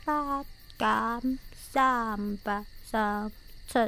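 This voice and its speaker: A fussy small child in a radio play. The voice is high and drags very slowly, with blunt grunts and whiny complaints. Music runs underneath. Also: female voice, vocal fry, pushed and bright, full character voice. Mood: disgusted. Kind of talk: radio drama acting. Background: music behind the voice.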